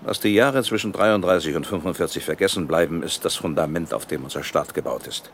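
An actor playing a ruthless, defiant older company boss in a German radio drama, arguing forcefully and with contempt. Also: male voice, deep voice, a little fast, slight background noise. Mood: defiant, cold. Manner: forceful, rhetorical, self-justifying.

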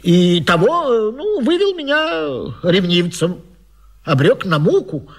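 An actor in a radio vaudeville playing a flustered, jealous 19th-century gentleman, stammering and agitated in a theatrical way. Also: high-pitched, very animated, slow pace, heavily acted. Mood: nervous, defensive.

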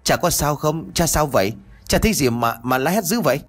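A man voicing a sharp-tongued, cruel young daughter of a wealthy house, in a spoken horror story. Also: on the high side, expressive, a little fast, pitched above the performer's own voice. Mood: whiny, worried.

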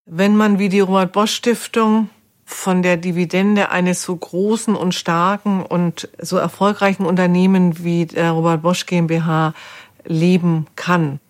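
A woman speaking as a foundation executive in a considered interview, earnest, measured and articulate. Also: fairly low pitch, level tone.

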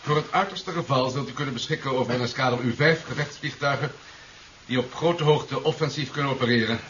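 An actor playing a composed, formal vice-admiral in a 1970s Dutch radio play, briefing in a measured, official manner. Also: male voice, medium pitch, fairly bright tone, lightly acted. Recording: dull, hissy 1970s radio recording.

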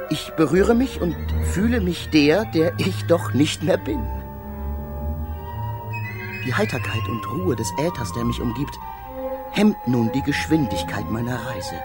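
Radio drama lead: a proud poet-philosopher adrift in space, theatrical, highly animated, over clear music. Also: male voice. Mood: rising awe, then musing wonder. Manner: declamatory, rapturous, theatrical. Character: awestruck male space traveller, dreamy poet-philosopher, eloquent and proud. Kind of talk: literary German radio drama monologue.

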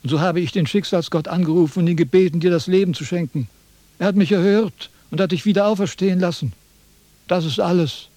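An actor playing a pompous, self-important ancient Chinese sage in a radio drama, lecturing in a condescending, impatient way. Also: male voice, high voice, brisk, warm tone, dry recording. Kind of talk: theatrical radio drama dialogue.

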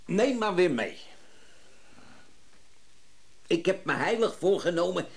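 A man's voice: sits high, very animated, moderate pace, a little hiss or hum. An actor playing a grumpy, stubborn older tradesman in a Dutch radio drama, blunt and sardonic. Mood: indignant.

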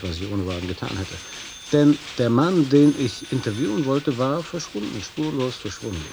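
An actor playing an eager, wry American roving reporter in a German radio play. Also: male voice, mid-range voice, close to an everyday voice. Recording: clearly noisy outdoor-style ambience.

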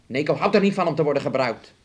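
Radio drama: young male bully, higher-pitched voice, mocking, wheedling, menacing, refers to himself by name. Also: lively intonation, fast talker. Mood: scornful. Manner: taunting, wheedling, speaks of himself in third person. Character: menacing, mocking young man bullying his sick fiancée. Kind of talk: Dutch radio play acting.

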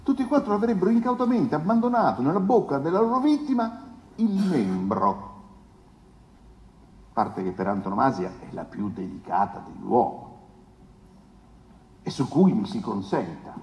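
Casting: a pompous, insinuating defence lawyer, theatrical oratory, higher-pitched male voice with dramatic swings, in a reverberant hall. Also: big pitch swings, darkish tone, a little hiss or hum. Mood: insinuating, emphatic. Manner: pompous, declamatory, rhetorical pauses and questions. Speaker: stage actor. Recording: echoey hall with long reverb.

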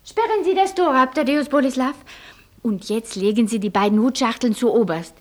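A woman's voice: on the high side, expressive, moderate pace. An actress in a German radio play playing a fussy, imperious lady who gives orders. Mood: fussy, bossy. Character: fussy, bossy lady giving packing orders.

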